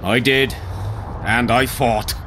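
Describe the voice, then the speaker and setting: A man's voice: medium pitch, fairly even intonation, slow pace, lightly acted. A man playing a grim, embittered war veteran, noisy background. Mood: grim recounting. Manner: grim, coarse-tongued storytelling. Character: embittered veteran soldier, gruff survivor of a lost regiment. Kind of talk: dramatic video game acting.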